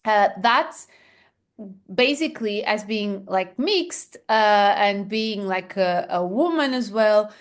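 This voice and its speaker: A woman from Portugal with a Portuguese accent, answering interview questions as a founder with many pauses, fillers and restarts. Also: medium pitch, lively intonation, smooth. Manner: hesitant, many fillers and restarts, laughs softly. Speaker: founder guest.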